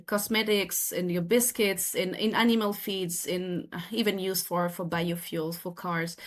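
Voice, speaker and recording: A woman from France with a French accent, in her thirties, earnestly explaining her work as a podcast guest, often restarting her phrases. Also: mid-range voice. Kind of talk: podcast interview.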